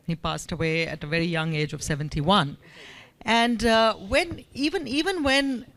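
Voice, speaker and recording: Woman from India, 50s, speaking from the floor, animated, lots of fillers. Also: mid-range voice, normal speed. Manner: animated storytelling, frequent fillers. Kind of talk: spontaneous personal story.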